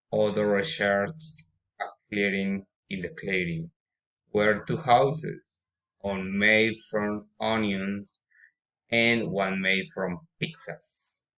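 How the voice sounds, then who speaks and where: Slow, halting man reading a story aloud. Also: on the low side, a bit bright, poor audio. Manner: halting, repeating words and misreading. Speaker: student practising reading aloud. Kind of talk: practice read-aloud of a children's story.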